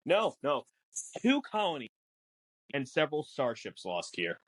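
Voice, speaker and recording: Animated man explaining lore, dry sound. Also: fairly high pitch, a little slow, dry recording. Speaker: podcast guest. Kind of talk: casual explainer of film lore with banter.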